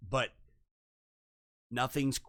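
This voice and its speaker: A man's voice: mid-range voice, fairly even intonation, drawn-out words, fairly bright tone, dry recording, clean studio sound. A man co-hosting a podcast, chatting casually, skeptical and joking as he keeps urging his co-host to go on. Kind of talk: casual chat.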